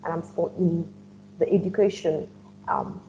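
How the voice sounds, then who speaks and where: A young woman speaks earnestly on a panel in a mellow, fairly low voice. She pauses and restarts now and then. The audio is dull, with a faint hiss and hum behind her. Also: wildly swooping pitch, vocal fry. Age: young adult. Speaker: refugee student panelist. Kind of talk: roundtable discussion.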